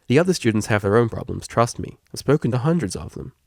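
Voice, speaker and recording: A man voicing an energetic, kindly male pony tutor, understanding and playful, with an encouraging, informal manner. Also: mid-range voice, fast talker, creaky, no room sound, studio quality. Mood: reassuring. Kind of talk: fan fiction audiobook character reading.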